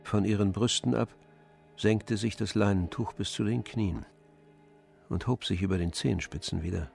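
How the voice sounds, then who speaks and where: A man narrating a literary German radio play in a measured, restrained, sober way. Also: lowish voice, fairly even intonation, vocal fry, darkish tone, soft background music.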